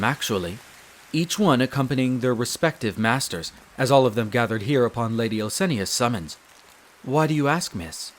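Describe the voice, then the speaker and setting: A man playing a courteous, devoted wolf butler in a roleplay audio drama, speaking in a formal, measured and deferential way. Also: mid-range voice, slight background noise, lightly acted. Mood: dutiful, composed. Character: courteous, devoted male wolf butler, head servant of vampire castle.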